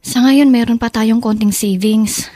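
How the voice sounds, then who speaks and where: Balisang bunsong kapatid, malambot na boses. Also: female voice, a little high, fairly even intonation, measured pace, mellow. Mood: worried. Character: anxious young student, younger sister. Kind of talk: Filipino radio drama acting.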